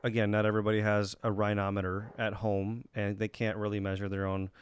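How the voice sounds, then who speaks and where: A man's voice: lowish voice, little pitch movement, a little fast, smooth. A man hosting a podcast interview, chatting casually and asking long, meandering questions with lots of short affirmations. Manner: conversational, frequent short affirmations, rambling questions. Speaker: podcast host.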